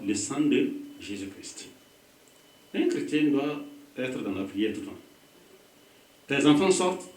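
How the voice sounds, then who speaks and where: A man's voice: medium pitch, a little mellow. A pastor with a West African French accent gives a call-and-response sermon, prompting the congregation to finish his phrases. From Burkina Faso. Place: church hall. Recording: echoey hall, voice from a distance.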